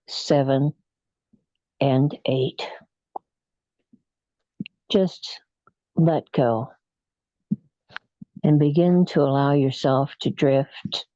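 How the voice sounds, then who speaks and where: A meditation leader speaks very slowly in a mellow, soothing tone. Phrases come in short pieces with frequent pauses, in a hypnotic relaxation style. The recording is dry and a little muffled. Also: medium pitch, no echo. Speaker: guided imagery meditation leader.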